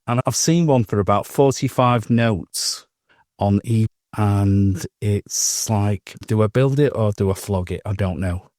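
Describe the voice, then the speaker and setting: Man, lowish voice, chatty, dry close mic. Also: measured pace, a little mellow, no echo. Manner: chatty storytelling with laughs and restarts. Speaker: podcast co-host. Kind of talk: casual chat.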